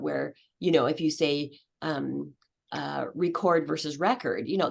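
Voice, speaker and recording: A woman's voice: fairly low pitch, gravelly. A woman giving a conference presentation on a video call, talking casually with many fillers and restarts. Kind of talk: casual presentation with audience questions.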